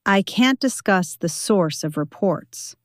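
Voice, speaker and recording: A woman's voice: mid-range voice, lively intonation, slow, no echo, clean studio sound. A woman voicing a weary, careful male deputy with a formal, restrained manner in an audio story.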